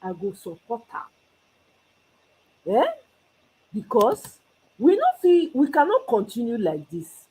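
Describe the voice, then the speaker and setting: A woman's voice: a little high, big pitch swings, takes it slowly, long pauses, warm tone, breathy edge, rough recording. A Nigerian woman hosting her own commentary channel, talking with passion and moving between English and Pidgin. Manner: impassioned, mixing Pidgin with English. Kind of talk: solo political commentary. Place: abroad, away from Nigeria.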